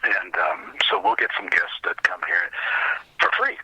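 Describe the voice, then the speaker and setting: A man with a husky voice chatting casually as an interview guest, in a halting, earnest, rambling way. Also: deep voice, sharp tone, rough recording. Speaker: podcast guest, old-time radio enthusiast.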